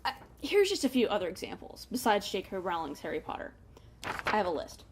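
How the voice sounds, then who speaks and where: A woman hosting a book review in a casual, chatty way, with animated emphasis and wry asides. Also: mid-range voice, fairly quick, slightly rough. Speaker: book review show host.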